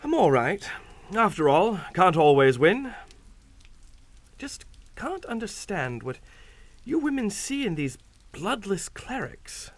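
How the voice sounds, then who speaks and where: An actor playing a hearty, blustering English captain with an old-fashioned upper-class manner in a radio suspense drama. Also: male voice, sits high, big pitch swings, slow, pauses often, dry recording, full character voice. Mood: genial bluster. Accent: British. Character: blustering, genial English captain, an uneasy guest.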